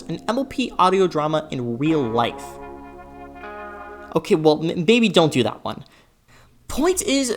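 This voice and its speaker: Young adult doing earnest video essay voiceover, really animated intonation, bit of music behind. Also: medium pitch. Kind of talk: scripted video essay narration.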